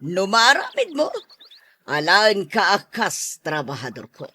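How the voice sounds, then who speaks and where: Forceful, bossy madam, swooping delivery. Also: female voice, mid-range voice, wildly swooping pitch, measured pace, no room sound, exaggerated voice. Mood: bossy, brash. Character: bossy, scheming, moneyed madam planning a swimming pool business. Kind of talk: radio drama acting.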